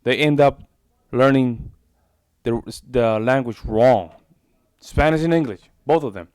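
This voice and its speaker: A man's voice: mid-range voice, a little slow, darkish tone, smooth, dry recording, clean studio sound. A man hosting a reaction video, rambling casually and repeating himself as he reacts. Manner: chatty, rambling, repeats himself, informal "bro".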